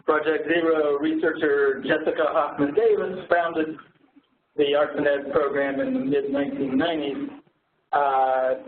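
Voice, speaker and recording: A man's voice: on the high side, steady tone, measured pace. A man presenting information as a program administrator. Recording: roomy, phone-like audio with faint noise.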